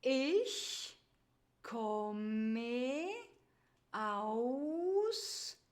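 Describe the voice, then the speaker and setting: Slow, word-by-word woman teacher. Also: on the high side, lively intonation. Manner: says each sentence word by word, then whole; gives each English prompt before the German. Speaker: German teacher. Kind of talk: slow repetition drill for beginners.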